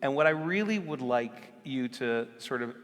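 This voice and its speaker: A man moderating an onstage conversation at a live event, earnest and halting, with frequent restarts. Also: medium pitch, lively intonation, a bit bright, big-room sound. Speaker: moderator, organization CEO. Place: large hall, live event.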